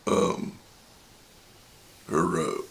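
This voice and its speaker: An older man hosting his own channel, telling a personal story in a reflective, unhurried way, his voice a little raspy from talking. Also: a bit bright. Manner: reflective and unhurried, many pauses. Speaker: channel host.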